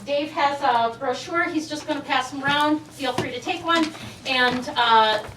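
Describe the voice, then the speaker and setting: A woman's voice: high voice, a little slow, noisy background. A woman giving an enthusiastic address at a school board meeting, introducing her colleagues one by one. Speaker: teachers' union president. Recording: echoey room from far off.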